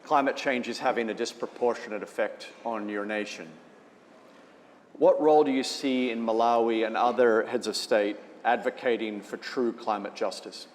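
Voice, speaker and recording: A man's voice: medium pitch, fairly even intonation, normal speed, a little hiss or hum. A man moderating an onstage interview, asking questions in an effusive, upbeat way. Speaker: moderator, host. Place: conference stage, live event. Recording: echoey hall with faint ambience.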